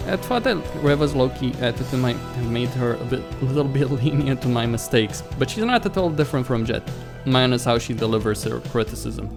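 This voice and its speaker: A man's voice: medium pitch, animated, a little fast, creaky, hardly any pauses, music in the background. A man hosting a let's play, reading a visual novel aloud and stumbling over words now and then, with casual side comments.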